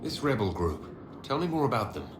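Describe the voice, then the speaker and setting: A man's voice: medium pitch, big pitch swings, moderate pace, natural voice. A man playing a composed, inquisitive heroic leader in a fantasy game, with a direct manner. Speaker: player hero, leader. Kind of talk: scripted game dialogue. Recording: echoey, clearly noisy game capture.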